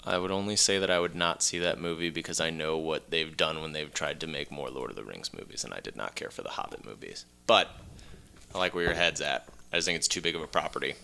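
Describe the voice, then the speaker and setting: A man co-hosting a podcast, bantering casually and pitching ideas in a blunt, joking, sometimes profane way. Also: quick pace, vocal fry, pushed and bright. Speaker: podcast co-host.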